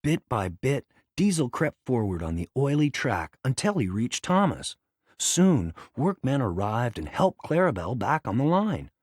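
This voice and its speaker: A man reading a children's read-along story as a scripted, dramatic and expressive narration. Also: mid-range voice, measured pace, husky, no echo, studio quality.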